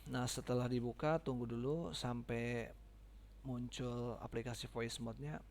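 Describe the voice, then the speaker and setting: A man giving a casual, informal step-by-step software tutorial, often checking in with his listeners. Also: medium pitch, steady tone, unhurried, slight background noise.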